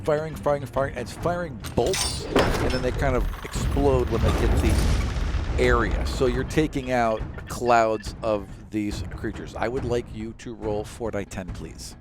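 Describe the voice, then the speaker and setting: A man's voice: mid-range voice, steady tone, a little slow, over music. A man running a tabletop fantasy game as game master, narrating and refereeing in an informal, agreeable way.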